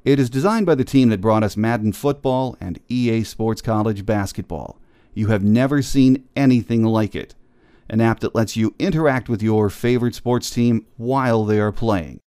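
A man's voice: fairly low pitch, moderate pace, mellow, smooth, no echo, clean studio sound. An American man hosting a sports podcast, delivering a scripted monologue. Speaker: podcast host.

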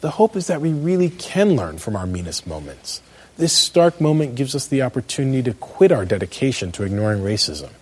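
American guy reading an opinion essay, deepish and a bit raspy, really animated pitch, emphatic phrasing. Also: fairly quick. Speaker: commentator, law professor. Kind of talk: scripted spoken essay.

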